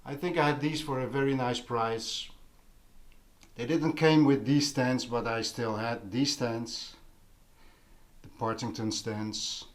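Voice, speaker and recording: A man with a non-native English accent chatting casually in a vlog as an audio hobbyist, halting and pausing mid-thought in an unhurried way. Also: medium pitch, fairly even intonation. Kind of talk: casual vlog product chat.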